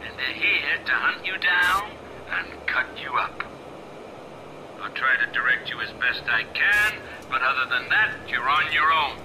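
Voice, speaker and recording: An actor playing a sadistic snuff-film director in a video game, coaxing and menacing in a theatrical way. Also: male voice, mid-range voice, lively intonation, unhurried, noisy background, lightly acted. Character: sadistic male snuff-film director ordering a captive around.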